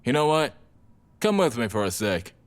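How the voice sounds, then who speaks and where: An actor playing a laid-back, friendly young vampire gang member in an audio drama, talking in casual slang. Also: male voice, mid-range voice, big pitch swings, normal speed, smooth, close to an everyday voice. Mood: friendly, easygoing.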